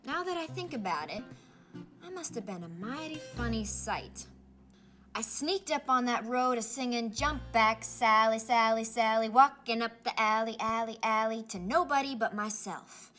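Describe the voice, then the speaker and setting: Lady doing a southern granny telling stories from when she was little, folksy and animated, highish voice, music behind. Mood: playful, proud. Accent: Southern American. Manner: folksy, animated, drawn-out storytelling with rural dialect. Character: folksy, spirited Southern grandmother reminiscing about her girlhood. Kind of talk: children's storybook character reading.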